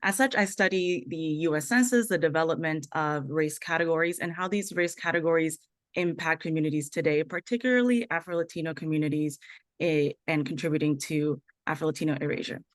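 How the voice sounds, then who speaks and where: Low-voiced woman, dry and articulate. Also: fairly quick, dry recording. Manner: fluent, articulate, formal. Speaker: panelist, PhD candidate. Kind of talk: prepared self-introduction.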